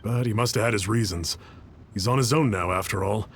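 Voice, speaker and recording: A man's voice: fairly low pitch, brisk, slight background noise, close to an everyday voice. An actor playing an earnest, polite young man who cooks, a little wistful about an old friend. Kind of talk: video game voice acting.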